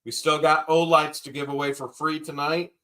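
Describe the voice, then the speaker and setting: A man's voice: a little high, flat delivery, moderate pace, sharp tone. A man hosting a live-stream auction, calling out bids and reading viewer comments with dry jokes. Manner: wisecracking, repeats bids, drawls some phrases.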